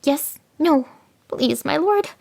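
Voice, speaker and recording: A woman voicing a cunning male jackal who pretends to be slow-witted, with fluttery, flustered pleading. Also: high voice, lively intonation, vocal fry, darkish tone, pitched above the performer's own voice, exaggerated voice. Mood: feigned confusion.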